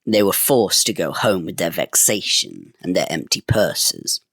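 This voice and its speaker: Gravelly man reading an old fairy tale, expressive, swoopy, husky. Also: low voice, unhurried, no room sound, studio quality. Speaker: storyteller.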